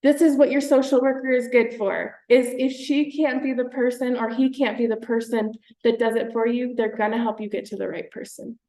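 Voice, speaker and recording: A woman's voice: fairly high pitch, fairly even intonation, moderate pace, few pauses, mellow. A woman giving an informal talk, chatty and full of fillers, running through lists of resources. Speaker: conference presenter. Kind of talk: informal presentation. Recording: echoey room.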